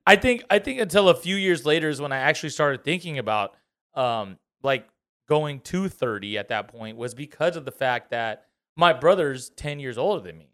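Casting: man in his thirties, slightly high voice, casual and swearing podcast host. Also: lively intonation, normal speed, a bit bright. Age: 30s. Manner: loose and profane, laughs often. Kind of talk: casual chat.

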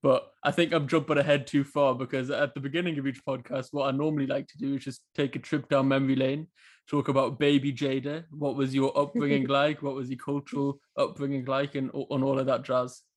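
A man hosts a casual interview podcast. His voice sits at an ordinary middle pitch. He stumbles a little, padding his questions with ums and uhs. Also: level tone, fairly quick. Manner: hesitant, many ums and uhs. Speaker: podcast host.

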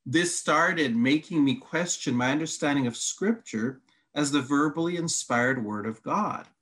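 A man's voice: a little high, measured pace, a bit bright. Man with Hungarian roots teaching scripture. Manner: methodical, reads long quotes, counts points. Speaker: Bible teacher. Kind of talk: teaching conversation.

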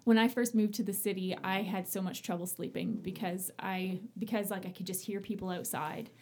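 A woman's voice: mid-range voice, steady tone, fairly quick, darkish tone, breathy edge. A woman from small-town Ontario with a Canadian accent, hosting a casual conversational interview in an effusive, chatty way. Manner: effusive, chatty, laughs often. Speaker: interviewer, host.

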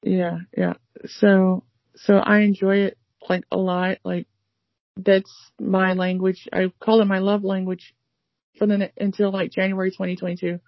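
A woman's voice: medium pitch, little pitch movement, a little slow, dry recording, lo-fi sound. A woman from the United States chatting casually about learning languages, rambling with many fillers and restarts. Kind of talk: casual conversation.

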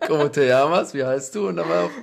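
A young man hosting a podcast, chatting in a relaxed way and telling anecdotes. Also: on the high side, moderate pace, creaky. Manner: relaxed, tells anecdotes with quoted voices. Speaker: podcast host. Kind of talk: casual podcast conversation.

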